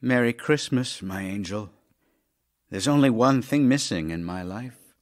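A man playing an ailing, lonely middle-aged writer in an audio drama, wry and tender toward his wife, gently chiding and wistful. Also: medium pitch, lively intonation, a little slow, natural voice. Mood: wry, longing. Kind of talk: audio drama acting, reading love letters.

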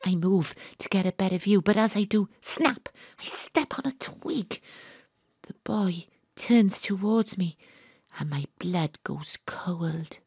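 An actress with a Welsh accent playing an excitable young woman investigator in an audio drama, with breathless, suspenseful delivery. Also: medium pitch, slow pace, husky, dry recording, clean studio sound, heavily acted. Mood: hushed, tense. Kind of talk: audio drama narration and dialogue.

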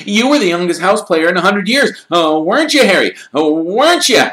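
A man voicing an excitable young schoolboy fan who gushes out breathless questions. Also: extremely high pitch, voice pushed up. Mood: eager. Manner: breathless, gushing, rapid questions. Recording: roomy echo.

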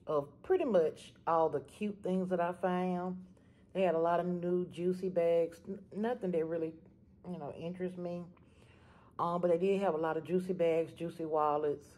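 Chatty woman vlogging from her parked car. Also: fairly low pitch. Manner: chatty, animated, talks straight to viewers. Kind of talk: casual vlog chat to viewers.

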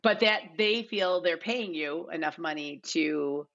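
A woman's voice: lowish voice, a little slow, gravelly, fairly bright tone, highs cut off. A middle-aged woman speaking casually as an interview guest, thinking aloud and repeating phrases as she explains. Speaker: casting director, podcast guest. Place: bright living room at home, indoors.

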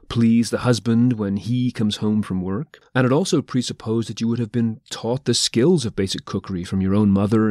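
A man's voice: fairly low pitch, darkish tone, no room sound, studio quality. A man hosting a conversational podcast interview, speaking in articulate, reflective, well-formed sentences. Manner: articulate, measured, reflective phrasing.

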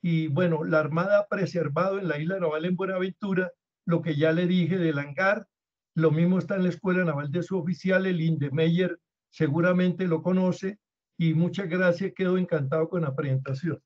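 High-voiced man, formal point-by-point commentator, dull call audio. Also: steady tone. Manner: brisk, enumerates points. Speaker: audience member commenting. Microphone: video call.

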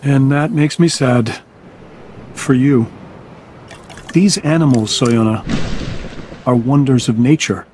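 An actor playing a wealthy, smug biotech scientist in a video game, grandiose and self-assured, enthralled by dinosaurs. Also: male voice, medium pitch, takes it slowly, long pauses, a little mellow, a little hiss or hum, lightly acted. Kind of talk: video game cutscene acting.

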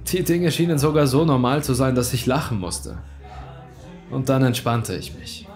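A man narrates a horror tale. His voice sits at a medium pitch, and he tells the story in a suspenseful, dramatic way. Music plays behind him. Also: normal speed, darkish tone. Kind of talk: dramatic scripted creepypasta narration. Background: background music.

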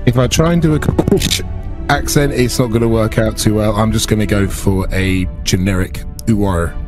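A man's voice: fairly low pitch, moderate pace, music in the background. A man chatting casually as a player on a tabletop game show. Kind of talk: casual chat.

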